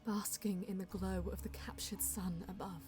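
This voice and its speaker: A woman voices a composed elven traveler narrating a sci-fi audio drama. Her voice is mellow and measured, with a reverent storytelling manner over faint music. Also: medium pitch, fairly even intonation, natural voice. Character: Eldar woman recounting a journey, composed, reverent.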